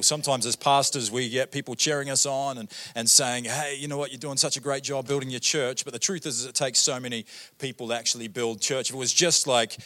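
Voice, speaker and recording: Chatty New Zealand pastor preaching. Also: male voice, mid-range voice, sharp tone. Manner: chatty, joking, many fillers and "right?" tags. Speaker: guest pastor. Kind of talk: sermon. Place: church auditorium.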